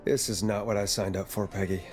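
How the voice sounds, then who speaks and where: A man's voice: on the low side, flat delivery, brisk, natural voice. Casting: male actor for jaded radio DJ in a game, dry and exasperated, music under the voice. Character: jaded fallen big-city radio DJ, dry and weary. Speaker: late-night radio host. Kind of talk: video game voice acting. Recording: music playing behind the voice.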